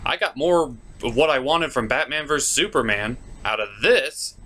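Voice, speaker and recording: A man's voice: mid-range voice, animated, normal speed, pushed and bright. A man hosting a comedic puppet-show review, narrating scripted commentary in a sarcastic manner.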